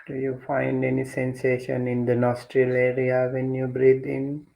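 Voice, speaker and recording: A man guides a meditation in a very slow, even voice with little rise or fall. His tone is mellow and creaky, and he gives gentle instructions with long pauses. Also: mid-range voice, rough recording. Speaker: meditation teacher leading guided meditation.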